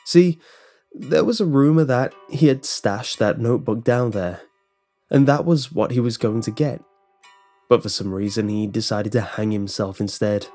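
A man's voice: mid-range voice, moderate pace, darkish tone, faint music behind, lightly acted. A man voicing an uneasy, hesitant male security guard in a horror story. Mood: telling a legend. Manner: hesitant, stammering when pressed.

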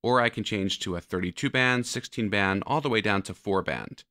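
A man narrating a software tutorial, explaining step by step like an instructor. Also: lowish voice, moderate pace, no echo, studio quality.

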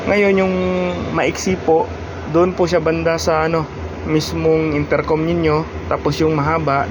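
A Filipino man with a fairly high voice narrates a casual tutorial for his vlog. He speaks informally and haltingly, often searching for his words. Clear background noise runs behind him. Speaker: motovlogger and host giving a product installation guide.

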